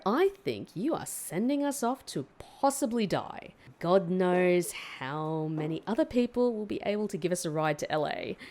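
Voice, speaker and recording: An actress playing a defiant, sharp-tongued hitchhiker in an audio drama, confrontational and sarcastic. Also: female voice, fairly low pitch.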